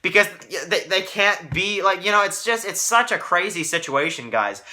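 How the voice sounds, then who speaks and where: A man with a strikingly bright, sharp voice that sits a little high for a male speaker. He hosts a casual review video, speaking straight to fans. His delivery rambles, circling back and qualifying his points as he goes. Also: lively intonation, moderate pace. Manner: rambling, repeats himself, heavy hedging. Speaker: host of a TV review channel.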